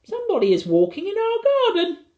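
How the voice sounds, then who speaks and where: Storybook small son: tiny excitable boy, squeaky high pitch, childlike chirp. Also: a little fast, exaggerated voice. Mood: excited. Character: tiny chirping excitable little boys with wings.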